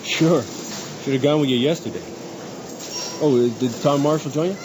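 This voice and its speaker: A man's voice: mid-range voice, moderate pace, background noise, natural voice. An actor playing an anxious, irritable American middle-aged father in an old-time radio drama, speaking in a hesitant, stammering, agitated way. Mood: weary, grumbling. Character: anxious, irritable suburban father, protective of his young son.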